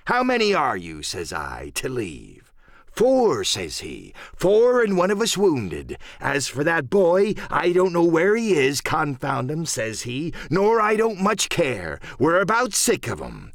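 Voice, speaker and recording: A man acts out an old pirate captain from a storybook, domineering and sly. The voice is raised and swoops widely, with deliberate, blustering seafaring dialect. It sounds close and dry. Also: a little high, huge pitch swings, no echo, pitched above the performer's own voice, heavily acted. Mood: grudging, sly. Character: domineering old one-legged male pirate sea cook, menacing, cunning.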